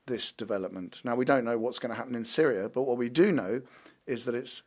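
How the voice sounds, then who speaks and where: A male interpreter rendering a parliamentary speech into English with a steady, formal delivery and the odd restart. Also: mid-range voice, a little fast.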